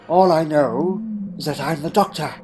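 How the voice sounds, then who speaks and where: A man playing an elderly, secretive alien time traveller in a fan audio drama, telling his story gravely and deliberately with pondering hums. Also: a little high, a little mellow, soft background music, lightly acted. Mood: defensive.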